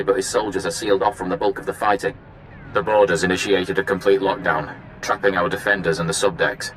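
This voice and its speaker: Forceful, formal warship captain. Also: male voice, sits low. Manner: clipped, commanding, formal. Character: formal, urgent male imperial warship captain. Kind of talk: video game voice acting. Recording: faint noisy battle ambience.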